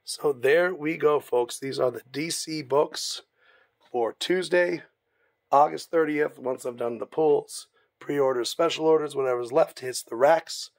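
Hoarse man presenting comic releases. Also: a little high, measured pace, dry recording. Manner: informal, easygoing, stumbles now and then. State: hoarse, raspy from laryngitis. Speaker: comic shop staff presenting new releases. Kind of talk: casual product walkthrough. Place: comic shop, indoors.

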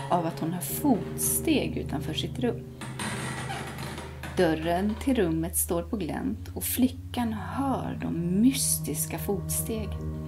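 Young woman reading a spooky story to kids, hushed and whispery, soft music behind. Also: medium pitch. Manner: hushed, dropping to whispers at tense moments. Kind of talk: reading a ghost story aloud. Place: cozy living room at night. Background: soft background music.

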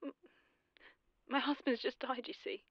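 An actress playing a dazed, distraught British widow in an audio drama, rambling and halting as she speaks. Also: high-pitched, fairly even intonation, no echo, highs cut off. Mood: dazed, tearful. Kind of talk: naturalistic audio drama acting.

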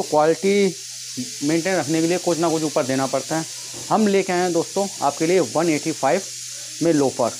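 Casting: man, 30s, slightly high voice, informal vlog-style sales pitch, lapel mic in a noisy indoor room. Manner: repetitive filler phrases, addresses viewers as friends. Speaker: vlogger and shoe seller. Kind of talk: casual product pitch to camera. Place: tiled room at home with stock boxes, indoors. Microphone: clip-on lapel mic. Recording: noticeable background noise, clicks.

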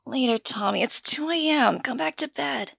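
A woman's voice: sits high, normal speed, creaky, a bit bright, breathy edge, no echo, studio quality, heavily acted. A woman playing a teenage girl heroine in an audio drama. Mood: drowsy.

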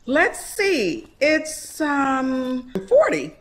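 Woman acting lively, high-voiced aunt. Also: drawn-out words, exaggerated voice. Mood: placating. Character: practical, placating aunt on a family outing. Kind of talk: audio drama acting.

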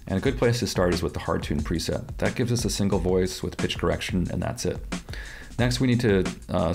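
A middle-aged man with a low voice, calmly and methodically walking through a music software tutorial with webcam and headphones, in a small room. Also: creaky. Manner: calm, methodical explaining with small restarts. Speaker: audio software tutorial presenter. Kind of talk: screen-recorded software tutorial. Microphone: headset-style headphones, webcam inset.

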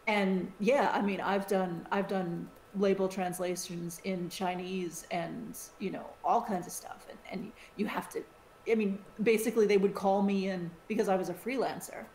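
A middle-aged American woman chats on a podcast, animated and full of restarts. Her voice has an ordinary pitch for a woman. It carries a roomy echo over a faint hiss. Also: moderate pace. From United States. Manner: chatty, animated, with many restarts. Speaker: podcast co-host. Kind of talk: casual podcast chat.

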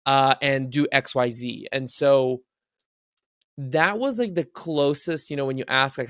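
A man's voice: mid-range voice, no room sound. Young man from Bulgaria, Bulgarian native, podcast guest on a video call, chatty with lots of fillers, dry close desk mic. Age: young adult. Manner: quick, animated, frequent filler words. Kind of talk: casual interview talk. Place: home room, video call. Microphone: studio mic on desk.

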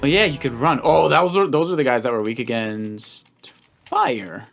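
A man's voice: mid-range voice, moderate pace, gravelly, fairly bright tone. A man giving casual, encouraging gameplay commentary, explaining moves to the player as he goes. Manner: encouraging, instructive. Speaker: co-host of a family Let's Play, the dad. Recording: game music behind the voice. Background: video game music.

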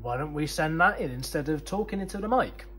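A man's voice: fairly high pitch, animated, fairly quick, over loud music. A British man in his thirties presenting an explainer to camera in a conversational, enthusiastic way. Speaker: museum video host. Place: indoor museum room full of synthesizers.

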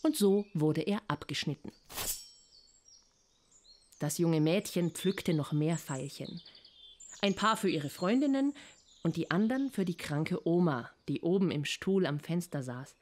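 A woman's voice: fairly low pitch, expressive, measured pace, long pauses, darkish tone, slight background noise. A woman narrator reading a scripted storybook fable for children.

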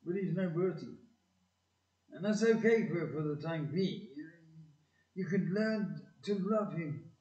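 Earnest, faltering dad for community audio drama, played by a man; higher-pitched voice, echoey room. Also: expressive, a little mellow. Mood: tender, earnest. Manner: hesitant, halting, earnest. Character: gruff, tender working-class father to a grown daughter. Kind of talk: amateur community audio play. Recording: distant, echoey, dull hall recording.

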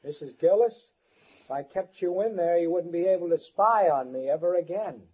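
A man's voice: high voice, animated, normal speed, breathy edge, muffled recording. An actor playing a paranoid, menacing handyman in an old radio thriller, with a controlled, threatening manner. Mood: taunting. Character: menacing, paranoid handyman holding a woman captive. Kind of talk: 1940s-50s radio thriller acting. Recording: noisy old-time radio recording.

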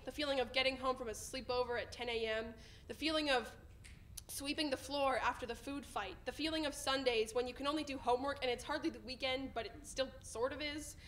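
A young woman performs slam poetry on an indoor stage. She has a high-pitched voice and delivers her phrases with emphasis, building them in rhythmic runs. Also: a bit bright. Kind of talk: spoken-word poetry recital. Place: indoor stage in front of a curtain.

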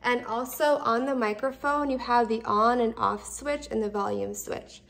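A woman's voice: a little high, fairly even intonation, normal speed, creaky, a bit bright. A woman in her thirties giving a casual step-by-step product demonstration at home, explaining simply and repeating instructions as she goes. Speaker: product reviewer. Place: indoors, open kitchen and dining room at home.

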